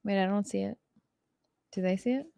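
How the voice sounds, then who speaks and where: A woman's voice: mid-range voice, fairly quick, gravelly, dark tone, no echo. A woman co-hosting a casual podcast over a video call, with wry, self-deprecating humor and playful asides. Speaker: podcast co-host. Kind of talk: casual chat. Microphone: video call setup.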